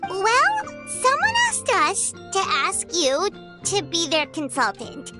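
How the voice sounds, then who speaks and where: Tiny fairy sidekick girl, squeaky and very high, lively swings, chatty and earnest, game style. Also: takes it slowly, music in the background, exaggerated voice. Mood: earnest, persuading. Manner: earnest, refers to herself in third person. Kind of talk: cartoonish video game voice acting.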